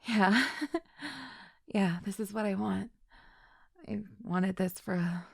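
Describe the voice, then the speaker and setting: A woman's voice: mid-range voice, fairly even intonation, slow, vocal fry, breathy edge, no room sound, clean studio sound, full character voice. A woman playing a chill, flirty best friend with a secret crush in an intimate audio roleplay, speaking in a giggly, hesitant, affectionate way. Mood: nervous, tender. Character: chill, flirty female best friend shyly confessing love. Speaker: voice actor.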